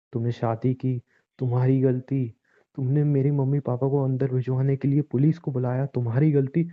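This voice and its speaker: Over a muffled, phone-like line comes a man acting as a distraught husband in an audio drama. He is brooding and bitter, repeating himself as he pleads and threatens, and his pitch stays fairly flat. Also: lightly acted. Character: distraught, menacing husband, bitter and self-pitying.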